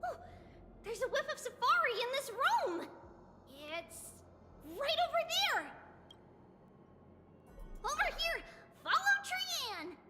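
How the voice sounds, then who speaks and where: A woman voicing a small, excitable, childlike girl in a video game, exclamatory and referring to herself by name. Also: extremely high pitch, takes it slowly, pauses often, in a large hall, a little hiss or hum, heavily acted. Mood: excited, eager. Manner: refers to self in third person, exclamatory. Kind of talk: video game character acting.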